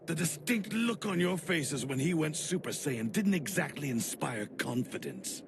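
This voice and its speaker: A proud alien warrior from an anime dub, menacing and bombastic. The voice is high and gritty and leaps about in pitch, with loud music behind it. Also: male voice, lively intonation, moderate pace, vocal fry, background noise. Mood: menacing, mocking. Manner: taunting, bombastic. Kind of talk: dubbed anime acting.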